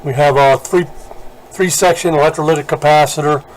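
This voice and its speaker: A man giving a casual hands-on repair walkthrough, speaking haltingly with pauses in mid-sentence. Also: on the high side, fairly even intonation, a little hiss or hum, low-quality recording. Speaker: vlogger repairing vintage radios.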